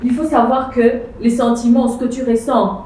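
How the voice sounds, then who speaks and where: Young woman, mellow, insistent debater; echoey, off-mic recording. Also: a little high, little pitch movement, normal speed. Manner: insistent, repeats phrases, mixes in English and Lingala words. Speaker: panel guest. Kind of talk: casual debate on a street panel. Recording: echoey, distant mic, faint noise.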